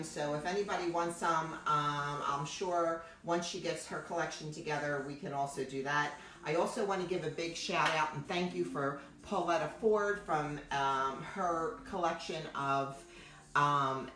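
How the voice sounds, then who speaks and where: Woman, middle-aged, deep loud voice, chatty rambling cooking host, echoey kitchen. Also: distant mic. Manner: chatty, rambling, thanks viewers often. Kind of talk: live-streamed cooking demo chat. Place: home kitchen.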